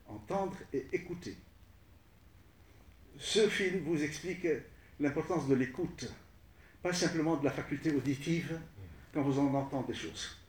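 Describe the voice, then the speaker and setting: Halting man speaking off-mic in an echoey room. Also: a little high, distant mic, slight background noise. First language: Arabic. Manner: halting, with many repeated words and restarts. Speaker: guest speaker recounting film work. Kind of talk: spoken recollection to an audience.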